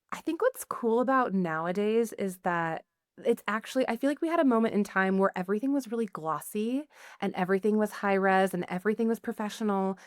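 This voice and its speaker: A woman's voice: mid-range voice, smooth, dry recording, studio quality. A woman guest on a podcast, talking casually and chattily with many "like"s and "you know"s. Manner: chatty, lots of likes and you-knows. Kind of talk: casual interview chat.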